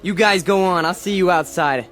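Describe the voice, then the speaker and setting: An actor playing a fervent young leader of a fringe political movement in a radio drama, with impassioned, rhetorical delivery. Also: male voice, high-pitched, moderate pace, a bit bright. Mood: subdued, hopeful. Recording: roomy echo, music behind.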